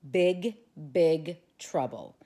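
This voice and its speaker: A woman's voice: on the low side, expressive, very slow, mellow. A woman voicing an anxious young schoolboy who pleads earnestly. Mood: pleading.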